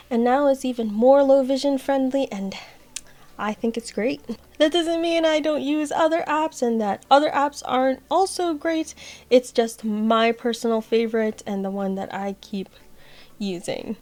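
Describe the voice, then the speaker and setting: A woman's voice: a little high, a little mellow. A woman hosting a casual tech walkthrough, talking in a conversational, opinionated way. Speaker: host of accessibility tech tutorial videos.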